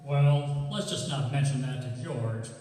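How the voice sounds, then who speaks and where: A man's voice: mid-range voice, measured pace, mellow, close to an everyday voice. An actor playing an easygoing, wry small-town neighbour man in a stage radio play. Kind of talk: stage radio theatre acting. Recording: echoey hall from far off.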